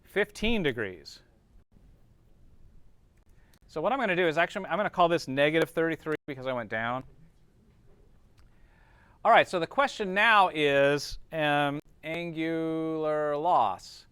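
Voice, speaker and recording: Male instructor, higher-than-average pitch, crisp and dry sound, informal classroom explaining with frequent check-in tags. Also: lively intonation, unhurried, pauses often, a bit bright, dry recording. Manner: informal, checks understanding with short tag questions. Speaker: teacher. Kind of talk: classroom lesson, explaining step by step.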